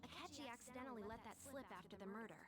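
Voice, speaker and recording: An actress playing a quick-witted teenager in a video game, talking in an expressive, conversational way. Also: female voice, fairly high pitch, husky. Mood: engaged. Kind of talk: animated video game voice acting.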